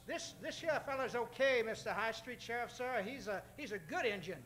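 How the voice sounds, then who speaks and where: A man's voice: very high voice, animated, moderate pace, fairly bright tone. A man playing a folksy, nervous, hard-drinking Old West doctor, stammering as he goes.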